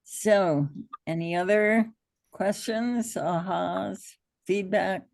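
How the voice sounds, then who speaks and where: A middle-aged American woman with a gravelly, hoarse allergy voice, informally teaching an online hypnosis class in an encouraging, reassuring way. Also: medium pitch, drawn-out words, a little mellow.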